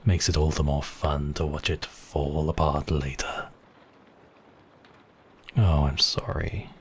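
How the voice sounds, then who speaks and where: A man acting as a suave, obsessive, mocking vampire count in an intimate ASMR roleplay, with silky, teasing, drawn-out phrasing. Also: a bass voice, steady tone, slight background noise. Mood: probing, playful, then sardonic.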